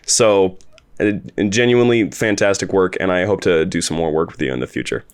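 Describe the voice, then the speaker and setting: Low-voiced man hosting a casual chat. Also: brisk, clean tone. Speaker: host and series creator interviewing a voice actor. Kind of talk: casual podcast commentary chat.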